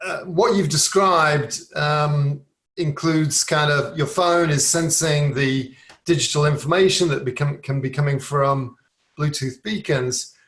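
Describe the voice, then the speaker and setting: Upbeat podcast host, slightly high voice. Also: male voice, unhurried, fairly bright tone. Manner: upbeat, leading questions. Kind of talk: podcast interview.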